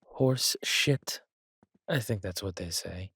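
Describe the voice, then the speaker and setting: A husky, breathy villain king, acted by a man, drawling slowly in a dry, close recording. He is arrogant and sardonic, a theatrical sulker with a cruel streak, in the manner of a roleplay audio drama. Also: deep voice, animated, fairly bright tone, no room sound, exaggerated voice. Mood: petulant, indignant. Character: arrogant, petulant villain king, cruel yet doting on his consort.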